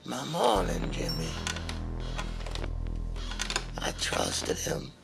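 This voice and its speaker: A man playing a brooding, mournful storyteller in an audio drama, with a creaky, gravelly voice and a rambling manner. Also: mid-range voice, huge pitch swings, extremely slow, over music, full character voice. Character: brooding, mournful narrator remembering a man he knew.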